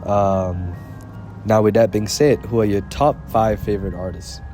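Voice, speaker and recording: Low-voiced young male interviewer. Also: fairly even intonation, a little slow, mellow, faint music behind. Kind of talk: casual interview questions.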